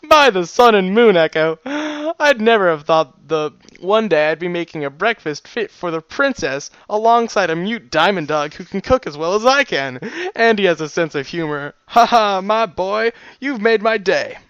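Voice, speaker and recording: Jolly, brawny stallion cook played by a man, high and forceful, hearty storybook character with a jumbled British, Southern US and Swedish accent. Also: lively intonation, normal speed, clear, resonant tone, dry recording, muffled recording. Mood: boisterous, laughing. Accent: muddled mix of British, American Southern and Swedish. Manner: exclamatory, friendly, hearty.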